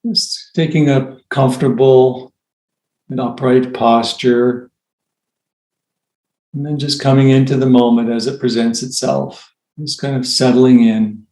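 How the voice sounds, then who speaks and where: A man leading a guided meditation as a teacher, with gentle, unhurried phrasing and long pauses. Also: medium pitch, steady tone. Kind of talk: guided meditation, softly spoken instruction. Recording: roomy, echoey sound.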